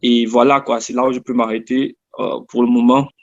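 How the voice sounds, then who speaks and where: A man with a Gabonese accent shares a personal testimony in conversation. His voice sits at a middle pitch and he often restates a phrase to stress it. The sound is dry and somewhat dull, like lo-fi online audio. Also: little pitch movement, a little fast, no room sound. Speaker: interview guest giving testimony.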